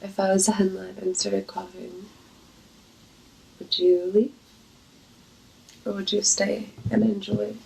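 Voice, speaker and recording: Congested, gravelly woman in slow chat. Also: medium pitch, level tone, darkish tone, low-quality recording. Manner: flirty, playful. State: congested, coughing with a cold. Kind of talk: casual intimate chat to viewers.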